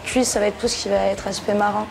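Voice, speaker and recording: A twenty-year-old woman from Portugal speaks in a casual interview. Her voice stays quite flat and even, with little rise or fall. She talks hesitantly, pausing and restarting often. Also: medium pitch, gravelly, background noise. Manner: hesitant, many fillers and restarts. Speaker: interviewee, tattoo shop piercer.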